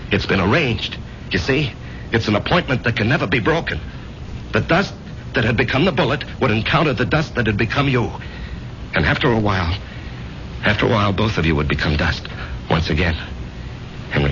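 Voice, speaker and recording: An overworked veteran turned salesman in a seventies radio play. His low voice moves widely and intensely, halting and doubling back on phrases. The recording is muffled, with clear noise over it. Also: fairly bright tone. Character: driven, self-doubting overworked salesman, war veteran. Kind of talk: 1970s radio drama acting. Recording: noisy, muffled 1970s radio drama recording.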